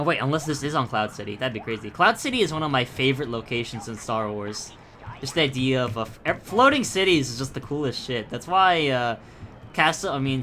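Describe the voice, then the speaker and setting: Young male let's-player, mid pitch, bright, chatty, swears often and jumps between tangents. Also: a little hiss or hum. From Philippines. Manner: rambling, profane, self-mocking tangents. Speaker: gaming YouTuber. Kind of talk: casual gameplay commentary.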